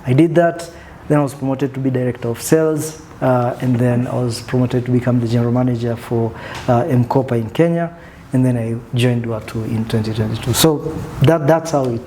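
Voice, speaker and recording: Soft-spoken man, mellow voice. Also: medium pitch, brisk, a little hiss or hum. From Tanzania. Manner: soft-spoken, reflective, with frequent fillers. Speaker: guest, country manager. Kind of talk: conversational interview.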